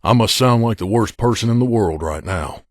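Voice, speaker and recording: Man acting as low-voiced, guarded wasteland mercenary. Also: animated, normal speed, no room sound, studio quality. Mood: bitter, reserved. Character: guarded young male mercenary companion in a post-apocalyptic wasteland, guilty, earnest. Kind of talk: video game companion dialogue, confiding.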